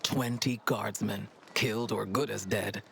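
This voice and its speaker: Low-voiced, plain-spoken inquisition man. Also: fairly even intonation, unhurried, creaky, fairly bright tone, a little hiss or hum. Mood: wary, curious. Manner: informal, matter-of-fact. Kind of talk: fantasy game dialogue acting.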